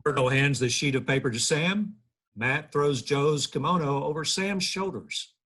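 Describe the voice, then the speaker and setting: A man's voice: fairly high pitch, a little slow, fairly bright tone, slightly rough. A man reading the stage directions at a table read, plain and matter-of-fact.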